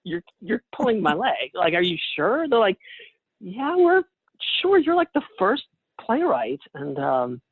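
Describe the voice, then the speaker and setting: A man's voice: sits high, big pitch swings, unhurried, husky, no echo. Middle-aged man on a phone-like line. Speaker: guest playwright. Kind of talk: casual interview conversation.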